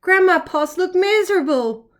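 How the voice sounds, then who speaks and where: A woman reading a picture book to young children in an animated, expressive way, with playful asides. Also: very high-pitched, moderate pace. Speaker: children's story reader, kindergarten teacher.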